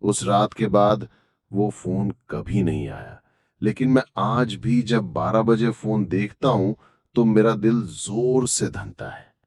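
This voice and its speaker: A man's voice: fairly low pitch, slow, a little mellow, no echo. A man reading a scripted horror story as its narrator. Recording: background music. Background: music.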